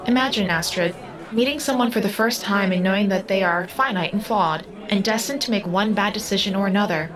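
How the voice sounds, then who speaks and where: A woman's voice: mid-range voice, fairly quick, natural voice. A woman acting in an audio drama as a solemn, literal-minded girl who speaks formally and precisely. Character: solemn, literal-minded all-seeing girl under eighteen. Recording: busy club crowd and music behind.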